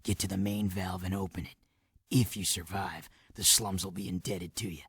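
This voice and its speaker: Game rebel commander: very low, rough, hardened and scornful, barking terse orders, close dry recording. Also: male voice, steady tone, normal speed, a bit bright, no echo, full character voice. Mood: briefing. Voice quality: low, gravelly. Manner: curt, commanding, disdainful. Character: gruff, hardened, scornful underground resistance commander, ex-guard. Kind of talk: video game cutscene acting.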